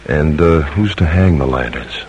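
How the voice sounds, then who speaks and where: An actor playing a loyal, modest colonial silversmith in an old radio drama, speaking in a plain, unhurried, matter-of-fact way. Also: male voice, extremely low pitch, darkish tone, dull audio, slight background noise. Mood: attentive.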